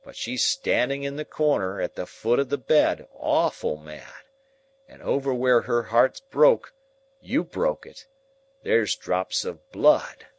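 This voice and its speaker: A man voicing a dying man raving in terror at a ghost, shrill, frantic and pleading. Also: expressive, measured pace, close to an everyday voice. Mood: haunted.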